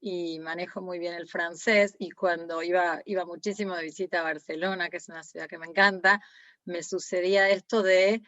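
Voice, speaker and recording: A woman's voice: lowish voice, fairly even intonation, normal speed, a bit bright, no echo, muffled recording. A woman co-hosting a podcast interview, asking questions in a conversational way with hesitant fillers. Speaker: podcast co-host.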